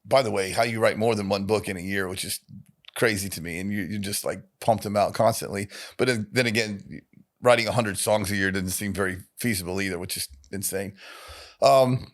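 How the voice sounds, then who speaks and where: Man, fairly low voice, friendly and chatty, laughs easily, casual interview host. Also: fairly even intonation, a little fast. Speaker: podcast host, songwriter.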